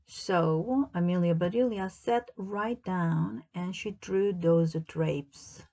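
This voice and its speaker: A woman reading a children's story aloud as narrator, in a deliberate, expressive storytelling manner. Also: on the low side. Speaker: storybook reader.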